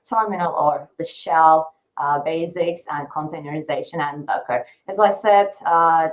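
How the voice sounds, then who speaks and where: A woman's voice: low voice, rough recording. A woman in her thirties from Turkey, a university professor presenting her teaching experience in a webinar, with frequent filler sounds. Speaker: guest speaker, assistant professor.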